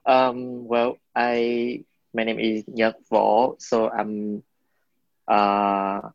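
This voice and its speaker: A man's voice: on the low side, flat delivery, extremely slow, dry recording, lo-fi sound. A man in his twenties from Vietnam with a Vietnamese accent, giving hesitant interview answers while searching for words. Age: 20s. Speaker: interviewee, college student.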